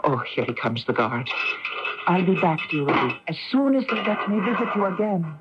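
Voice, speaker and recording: A woman's voice: lowish voice, lively intonation, normal speed, close to an everyday voice. An actress playing a devoted, anxious female cousin in a radio mystery drama, tender and pleading. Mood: tearful, pleading. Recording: dull, noisy old radio recording with clicks.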